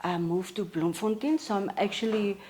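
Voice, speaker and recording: South african woman in her 40s, mid pitch, talks steady and plain, lots of um pauses. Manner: steady, matter-of-fact, with frequent pauses and fillers. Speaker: podcast guest, counselor at a support organization. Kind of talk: casual interview talk.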